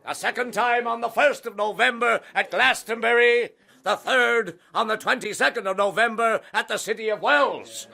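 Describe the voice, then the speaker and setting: A man playing a severe, pompous judge with a creaky voice in a period radio drama. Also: moderate pace, sharp tone, full character voice. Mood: solemn, severe. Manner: booming, solemn, outraged. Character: severe, pompous male judge passing sentence.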